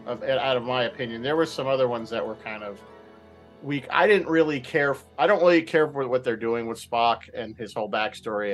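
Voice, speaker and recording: A man sharing opinions casually on a radio roundtable, hedging and repeating himself as he goes. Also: mid-range voice.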